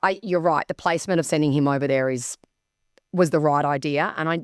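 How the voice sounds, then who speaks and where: A woman's voice: deep voice, fairly quick, clean tone, no room sound, studio quality. An Australian woman co-hosting a horse racing show, chatting and commenting with emphatic enthusiasm. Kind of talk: casual racing chat and commentary.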